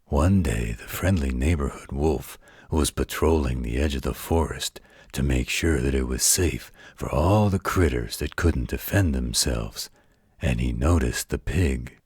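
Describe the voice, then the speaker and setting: A man voicing a gruff, protective werewolf with a deep, gravelly, husky voice, telling a bedtime story in an intimate, folksy, gently wry way. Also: unhurried, no echo, clean studio sound, full character voice. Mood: folksy storytelling.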